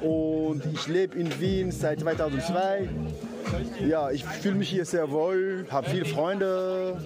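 High-voiced hesitant man from West Africa. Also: steady tone, slow. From Mauritania, raised in Senegal. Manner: hesitant, many fillers, loose German grammar. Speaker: event host and organizer. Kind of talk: casual interview answers. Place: outdoors at an urban event. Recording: outdoor event with loud music. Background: loud dance music.

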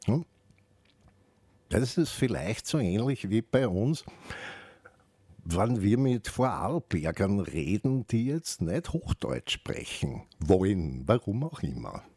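A man hosting a radio show, explaining things off the cuff in a halting, reflective way with asides. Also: lowish voice, sing-song, darkish tone, breathy edge, dry recording. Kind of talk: unscripted spoken radio commentary with explanations.

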